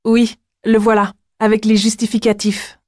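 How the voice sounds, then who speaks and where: A woman's voice: a little high, level tone, moderate pace, dry recording, studio quality, lightly acted. A woman playing a polite young woman in a scripted language-exam dialogue.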